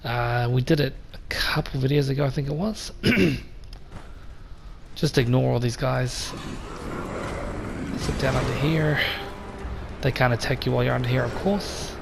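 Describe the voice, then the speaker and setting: A man with a slightly croaky voice hosting a let's play, chatting casually and addressing viewers directly. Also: medium pitch, measured pace, pauses often. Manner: relaxed and chatty, talks straight to viewers. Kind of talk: casual gameplay commentary. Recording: voice over game sound noise.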